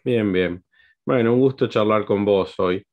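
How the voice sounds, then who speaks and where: A man with an Argentine accent hosting an interview, halting with frequent fillers and tag questions. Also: on the low side, lo-fi sound. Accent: Argentine Spanish. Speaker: host.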